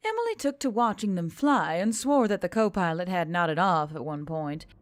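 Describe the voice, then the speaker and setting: A woman's voice: fairly low pitch, expressive, moderate pace, dry recording, studio quality. A woman reading scripted fiction as an audiobook narrator.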